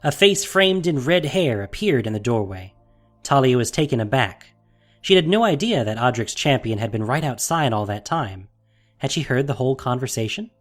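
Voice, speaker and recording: A man's voice: medium pitch, animated, normal speed, faint music behind. Male storyteller narrating fantasy audio drama.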